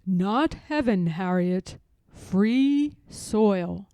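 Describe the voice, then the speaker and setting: Woman voicing slow, solemn, fatherly God. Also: medium pitch, animated, warm tone, clear, resonant tone, no room sound, pitched below the performer's own voice. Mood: gentle, guiding. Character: God, a calm, tender, commanding fatherly divine voice. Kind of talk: storybook read-aloud.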